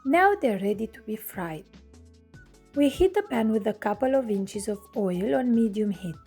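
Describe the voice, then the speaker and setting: A woman from Romania, hosting her cooking channel and narrating a recipe in clear step-by-step instructions from her home kitchen. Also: fairly high pitch, a little mellow.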